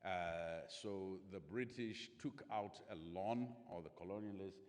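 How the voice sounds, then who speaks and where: A man chairing a panel in a large hall, reflective, putting rhetorical questions to the audience. His voice is very low. A long hall reverb trails behind every phrase. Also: a little slow. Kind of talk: moderating a conference panel.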